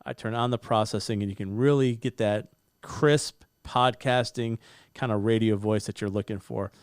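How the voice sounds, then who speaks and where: A man's voice: fairly low pitch, fairly even intonation, normal speed, clean tone, no room sound. A man in his forties giving an upbeat, hands-on gear review from his home studio, close on a broadcast microphone. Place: home studio with bookshelves and blue lighting. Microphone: studio broadcast mic on boom arm, close.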